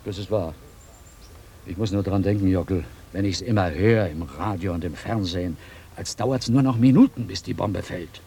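An actor playing a blind old village woodcutter, brooding and argumentative, in a radio drama. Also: male voice, deep voice, normal speed, mellow, slight background noise. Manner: rustic, ruminative, argumentative.

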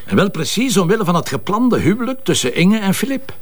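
A probing Flemish police commissioner. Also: male voice, high voice, sing-song. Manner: measured, formal questioning. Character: male police commissioner, probing, polite, sceptical. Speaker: actor. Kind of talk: audio drama dialogue.

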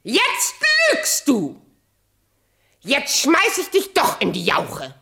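A woman's voice: high voice, huge pitch swings, slow pace, creaky, fairly bright tone, exaggerated voice. An actress playing a vain, murderous, imperious queen in a radio play, theatrical, commanding and volatile in manner. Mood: furious.

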